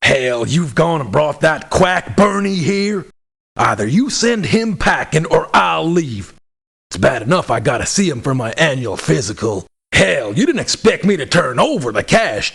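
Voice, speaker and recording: A man playing a gruff, blunt, hot-tempered mercenary for hire in a video game, with a gravelly, husky voice. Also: very animated, unhurried, a bit bright, heavily acted. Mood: irritated. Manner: blunt, cranky, folksy swearing. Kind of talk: video game character voice lines. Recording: low sample rate game audio clips.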